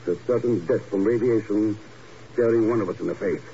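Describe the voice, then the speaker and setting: An actor playing a cool, commanding secret agent in a 1950s radio drama, speaking in a clipped, deliberate way. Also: male voice, fairly low pitch, dark tone, close to an everyday voice. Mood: grave. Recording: muffled, hissy old radio recording.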